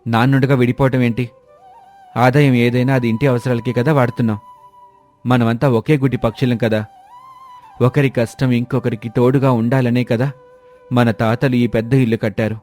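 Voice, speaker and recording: A man's voice: mid-range voice, little pitch movement, moderate pace, long pauses, darkish tone, faint music behind, lightly acted. A man as a steadfast, protective elder brother. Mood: shocked, earnest. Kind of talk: moral story character dialogue.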